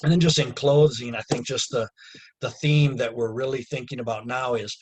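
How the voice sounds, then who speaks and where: A man's voice: mid-range voice, steady tone, normal speed, noisy background. A man giving closing remarks on a webinar over a video call, earnest and growing emphatic. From United States. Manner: earnest, gets emphatic and raised. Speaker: community organizer.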